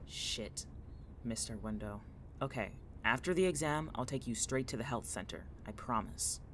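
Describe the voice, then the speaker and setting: A man acting as a young male student in an audio drama, anxious and guarded. He talks in blunt, dry, terse lines with an irritable but protective edge. Clear background noise sits behind his voice. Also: mid-range voice, moderate pace, natural voice. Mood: dry concern.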